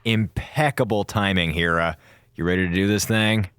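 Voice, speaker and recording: A man's voice: deep voice, steady tone, moderate pace, fairly bright tone, clear, resonant tone, no room sound. A man playing a sardonic, melodramatic communications officer on a space station in an audio drama, with a theatrical, mock-solemn delivery. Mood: dismissive, sarcastic. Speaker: communications officer recording a log. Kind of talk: audio drama acting, log narration.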